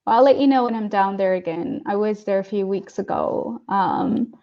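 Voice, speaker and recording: A woman's voice: mid-range voice, dark tone. Chatty joking woman on a muffled call. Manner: chatty, joking, quick to laugh. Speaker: guest. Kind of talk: casual video-call chat.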